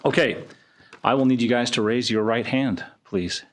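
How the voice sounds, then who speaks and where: A man chairing a city council meeting, with a booming voice, reading an oath in measured phrases into a desk microphone in a council chamber. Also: slight background noise. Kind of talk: formal meeting procedure.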